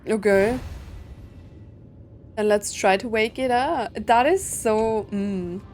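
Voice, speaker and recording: Female game streamer, mid-pitched, casual musing commentary, game music underneath. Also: slow, a little mellow. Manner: rambling, musing aloud.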